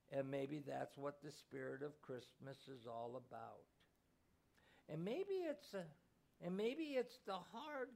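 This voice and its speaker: A man voicing a gentle mother who speaks softly and tenderly to her son. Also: high voice, vocal fry, exaggerated voice. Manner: soft, tender, at times firm.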